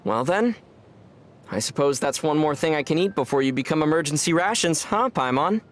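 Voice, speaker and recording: A man playing a young traveler hero in a video game, calm and wry, with a dry, teasing manner. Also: a little high, fairly quick, close to an everyday voice. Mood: dry, musing.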